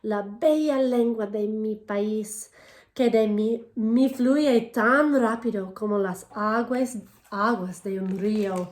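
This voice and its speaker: A woman teacher reading a picture book aloud to children in English and then Spanish, pausing to ask them questions. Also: medium pitch. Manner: expressive storytelling, asks children questions. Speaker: elementary school teacher. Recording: echoey room.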